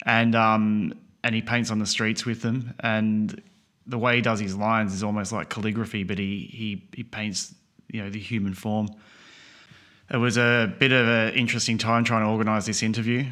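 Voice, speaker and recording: A man's voice: lowish voice, sharp tone. A man hosting a podcast, introducing an episode and chatting casually with many fillers. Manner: easygoing, frequent fillers. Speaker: podcast host. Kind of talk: casual interview chat.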